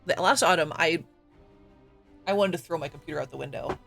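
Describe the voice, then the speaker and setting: A man streamer, slightly raspy from coughing, chatting casually and self-deprecatingly with lots of fillers and quick laughs while he plays. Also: on the high side, bright, forceful tone, faint music behind. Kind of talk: casual live-stream chat while gaming.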